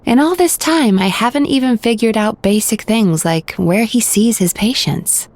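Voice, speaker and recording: A woman voicing a composed, reserved dream-spirit therapist in a video game, measured and politely formal. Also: on the high side, darkish tone, no echo. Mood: wry, resigned.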